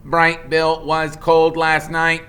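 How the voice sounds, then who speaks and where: A man's voice: fairly high pitch, completely flat delivery, slow, pushed and bright, clear, resonant tone, voice pushed up. A man voicing a polite, literal female robot from a storybook, speaking in a precise, matter-of-fact way.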